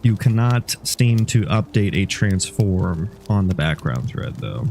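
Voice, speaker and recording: Sniffly man, dark mellow tone, low voice, rambling casual dev talk. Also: big pitch swings, a little slow, vocal fry, slight background noise. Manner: rambling, thinks aloud with fillers. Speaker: game developer.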